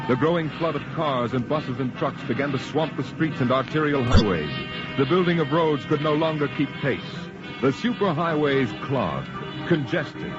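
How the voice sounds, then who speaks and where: A man narrating a 1950s radio drama in a measured, portentous, scripted announcer style. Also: mid-range voice. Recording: muffled old radio, loud music.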